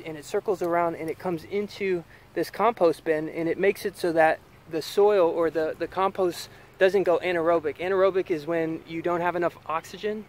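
Higher-voiced American man, outdoors. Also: a little mellow. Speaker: farm vlogger giving a tour. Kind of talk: casual explanatory tour talk. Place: outdoors on a farm. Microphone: camera at arm's length. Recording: outdoor ambient noise.

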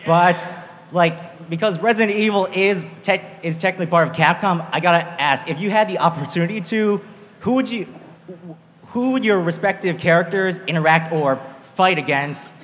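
Male audience questioner, slightly high pitch, casual, echoey hall. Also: normal speed, a bit bright. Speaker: audience member asking a question.

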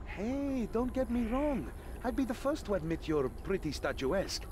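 A wisecracking young game hero, fairly high for a male and swinging widely in pitch. He is cocky and flippant, with clear background noise. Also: very animated, moderate pace. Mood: sarcastic. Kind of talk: video game voice acting.

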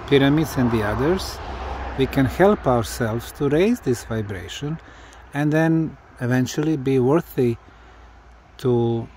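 A man who is a non-native English speaker, putting deliberate questions as an interviewer outdoors. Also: medium pitch, darkish tone, slight background noise. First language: not English. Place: outdoors, behind the camera.

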